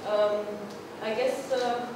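A woman's voice: fairly high pitch, flat delivery, takes it slowly, background noise. A woman at a workshop, reporting her group's ideas with many fillers and restarts, in a large conference room. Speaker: workshop participant. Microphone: far room mic. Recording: echoey hall, far from the mic.

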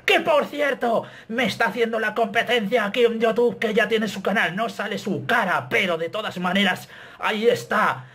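A Spanish man in his thirties with a Castilian accent, talking to his webcam in a small bedroom, animated and self-mocking. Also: high-pitched, moderate pace, slightly rough. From Spain. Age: 30s. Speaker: YouTuber. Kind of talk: casual vlog to camera.

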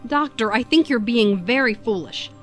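An outspoken, caring female companion played by a woman in a sci-fi audio drama. Her high voice is very lively in pitch, with light music underneath. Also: moderate pace. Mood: skeptical, concerned. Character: outspoken, concerned female companion of a time traveller.

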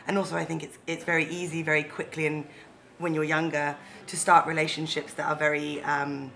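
A low, flat, gravelly woman's voice, speaking with earnest care and small restarts. She is a director in her thirties, seated indoors on a lapel mic. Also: brisk. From London, England. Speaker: film director and actor, interview guest. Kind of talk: casual interview answers. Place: seated indoors in a room with a leather sofa.

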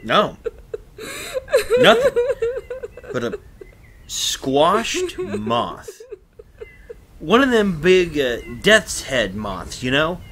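Man acting a rattled, folksy fellow, wildly swooping pitch. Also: huge pitch swings, fairly bright tone, a little hiss or hum, exaggerated voice. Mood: baffled, uneasy. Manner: flustered, colloquial, halting. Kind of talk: retro radio drama acting.

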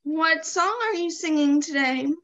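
A woman's voice: high-pitched, unhurried, fairly bright tone. A woman playing a sugary Southern assistant director. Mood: syrupy. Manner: syrupy, condescending sweetness. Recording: dull lo-fi sound.

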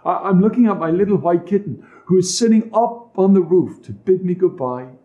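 A man voicing a brave, gentle little boy in a storybook reading, with a reassuring manner. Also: high-pitched, moderate pace, roomy sound, phone-quality sound, voice pushed up, lightly acted. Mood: eager.

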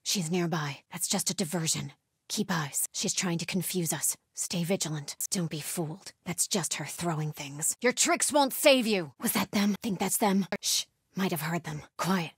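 Husky, grim cultist huntress. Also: female voice, lowish voice, animated, moderate pace, no echo, clean studio sound. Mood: hushed, wary, then taunting. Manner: clipped, terse. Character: grim, vigilant female cultist hunter stalking her enemies. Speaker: video game voice actor. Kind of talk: video game enemy combat callouts.

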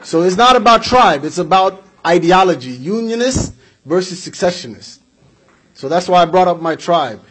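Husky-voiced young man giving a speech. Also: high-pitched, animated, moderate pace, fairly bright tone, rough recording. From United States. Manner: emphatic, repeats points for effect, gestures while speaking. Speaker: speaker at a community event. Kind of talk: political speech. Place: indoor hall with flags behind. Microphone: podium microphone on a stand.

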